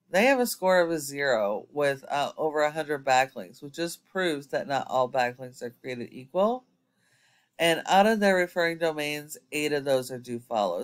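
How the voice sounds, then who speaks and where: A man talks through a website audit while clicking around his screen, explaining each point step by step and filling gaps with frequent ums. His voice sits a little high and comes across smooth and clear. It sounds like a screen recording captured on a computer mic. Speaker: SEO consultant.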